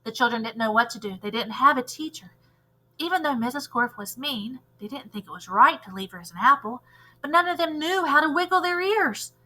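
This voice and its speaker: A woman's voice: high voice, brisk, sharp tone, rough recording. A woman reading aloud as a teacher, narrating a children's storybook expressively.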